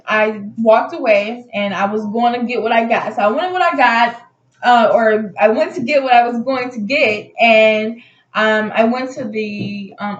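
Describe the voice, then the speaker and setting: A woman telling a personal story in a casual, rambling, conversational way, like a vlogger chatting to her viewers. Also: on the high side. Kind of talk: casual storytime chat to camera. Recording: roomy echo, slightly dull lo-fi sound.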